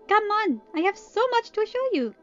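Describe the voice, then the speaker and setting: A woman voicing an excitable, mischievous teenage princess, playful and eager, in a story reading. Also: very high voice, moderate pace, muffled recording, soft background music, voice pushed up, exaggerated voice. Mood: excited.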